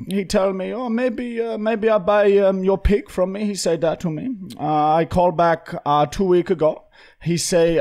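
A man voicing a persistent, bumbling foreign pig farmer in halting broken English on a prank phone call. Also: high-pitched, darkish tone. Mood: halting, earnest. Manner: halting, pleading, pushy salesman. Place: podcast studio, close boom or handheld microphone.

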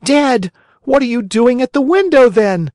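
A man voicing an excitable young wooden puppet boy in a children's storybook, beseeching and exclaiming. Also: extremely high pitch, unhurried, no echo, clean studio sound, pitched above the performer's own voice, exaggerated voice. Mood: desperate pleading.

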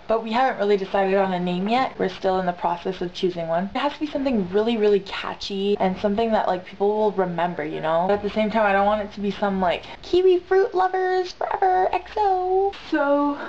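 A woman's voice: mid-range voice, darkish tone. A young woman chats casually to camera in a rambling, slangy way, her nose congested from being sick. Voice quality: stuffed-up, congested. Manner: rambling, emphatic repetition, slangy. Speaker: vlogger. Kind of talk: casual, chatty vlog to camera. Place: small bedroom, indoors on a bed. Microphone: built-in camera mic at arm's length. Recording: dull lo-fi camera sound, light noise.

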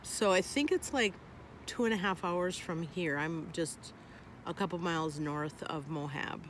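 Older woman vlogger, casual and slow, lots of ums, phone at arm's length, faint background noise. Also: fairly low pitch. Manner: unhurried, chatty, many ums. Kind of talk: casual chat. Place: in a minivan, then outdoors at a campsite. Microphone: phone held at arm's length.

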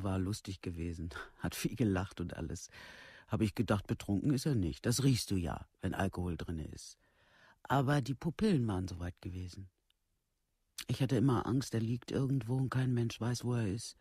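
An actor playing a worried, worn-down village parent in a radio play, in plain, halting colloquial speech. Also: male voice, on the low side, a little mellow, slightly rough, dry recording, clean studio sound. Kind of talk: documentary radio play monologue.

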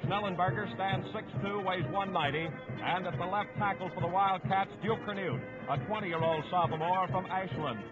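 Man, high voice, vintage sports radio announcer, formal measured delivery, dull lo-fi broadcast, music behind. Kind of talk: 1950s radio football commentary, reading starting lineups.

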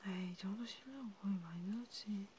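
A woman whispering softly in an ASMR role play as a vampire healer tending a wounded vampire. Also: medium pitch, quick pace. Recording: clearly noisy home recording.

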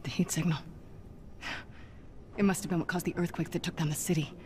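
A woman's voice: on the low side, steady tone, brisk, a little mellow, slight background noise, natural voice. A woman playing a guarded, earnest spy superhero in a video game, serious and intense. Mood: earnest, concerned. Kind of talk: cinematic video game acting.

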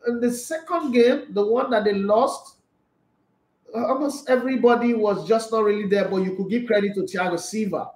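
A man, hosting a casual football chat show and answering viewer comments in an animated, rambling way. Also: high-pitched, normal speed, echoey room, low-quality recording. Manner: animated, rambling, gestures a lot. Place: small indoor studio room.

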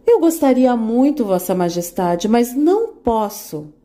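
A woman voicing a gentle young peasant girl. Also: fairly high pitch, sing-song, a little slow. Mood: polite. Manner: gentle, polite and apologetic.

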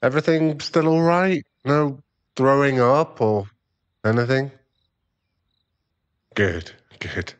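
A man's voice: medium pitch, slow pace, long pauses, clean tone, natural voice. A man playing an irritable, defensive, hard-drinking werewolf boyfriend and expectant father in an ASMR roleplay audio drama, given to sighs and snappish remarks. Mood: casual.

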